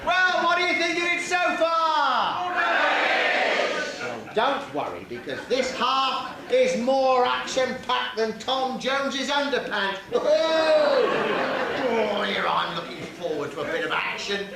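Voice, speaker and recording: A man's voice: extremely high pitch, animated, slow, over a noisy crowd, heavily acted. A man playing a bawdy, brash pantomime dame with a British accent, broadly playing to the audience on stage. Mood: brash, cheeky. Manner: broad, exclamatory, plays to the audience. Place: theatre stage, audience behind. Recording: echoey theatre hall with audience noise.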